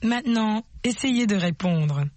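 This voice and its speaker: A woman's voice: medium pitch, animated. Woman narrating a language course. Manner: slow, clear, explaining. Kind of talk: scripted instructional narration.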